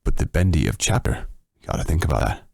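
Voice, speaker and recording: Man doing a low, slow-crooning radio-show seducer, dry and close. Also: sing-song, gravelly, a little mellow, dry recording, exaggerated voice. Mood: sultry. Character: smooth deep-voiced male late-night radio host. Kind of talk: joking put-on voice for a livestream. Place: home at night.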